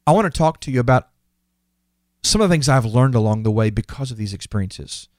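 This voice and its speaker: A man's voice: mid-range voice, animated, fairly quick, darkish tone, dry recording, studio quality. A fifty-year-old man hosting a podcast, giving an earnest, reflective and motivational talk that repeats phrases for emphasis. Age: 50s. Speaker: podcast host.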